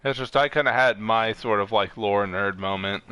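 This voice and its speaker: A man streams a co-op game and chats casually as he plays. His voice sits on the low side but is crisp and bright. He is excitable and swears freely. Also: normal speed. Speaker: gaming streamer. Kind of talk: casual chat while playing a co-op online shooter.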